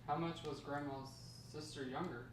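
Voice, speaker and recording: A man's voice: on the high side, fairly even intonation, moderate pace, natural voice. Grandson role, read by a man: young, eager, inquisitive, table-read delivery, off-mic with faint noise. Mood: curious. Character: curious young grandson, eagerly prompting for the story. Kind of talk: table read of a dramatic script. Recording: echoey room, distant mic, faint noise.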